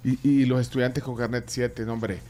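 A man's voice: mid-range voice, little pitch movement, moderate pace, dark tone. A man hosting a live studio talk show, joking and reading out viewer messages. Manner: jokey, reads viewer messages. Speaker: host.

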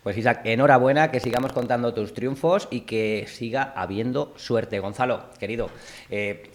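A man hosts a relaxed talk show, jovial and teasing as he thanks his guests. His voice sits at a middling pitch for a man. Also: normal speed. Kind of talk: casual chat, wrapping up the show.

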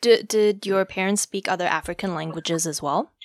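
A woman interviewing a guest, asking curious questions in a gently encouraging way. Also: medium pitch, moderate pace, no echo, clean studio sound.

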